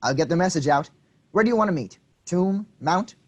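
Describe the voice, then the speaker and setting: An actor playing an eager, deferential disciple who earnestly prods and flatters his boss in a comic play reading. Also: high-pitched, a little fast, muffled recording. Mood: agreeable.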